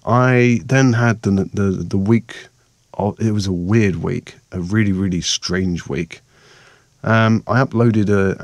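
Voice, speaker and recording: Croaky male vlogger, lowish voice, dry close sound, rambling casual narration. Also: no room sound. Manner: chatty, rambling, self-mocking. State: hoarse, croaky voice from a sore throat. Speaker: vlogger and meditation maker.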